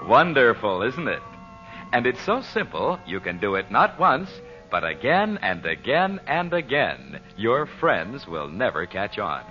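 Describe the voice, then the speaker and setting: An American man announcing and pitching on old-time radio in an emphatic, salesmanlike style, speaking straight to the listener. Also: bright, forceful tone. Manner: salesmanlike, emphatic, direct address. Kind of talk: 1940s radio advertising announcing. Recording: dull vintage radio broadcast recording.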